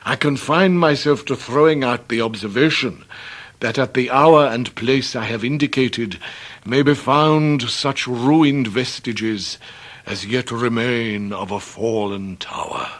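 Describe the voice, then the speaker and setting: An actor playing a pompous, theatrically downcast Victorian English debtor gentleman, grandiloquent and long-winded in a period radio drama. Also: medium pitch, slow. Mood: mournful, grandiose. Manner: grandiloquent, orotund, ornate long-winded phrasing.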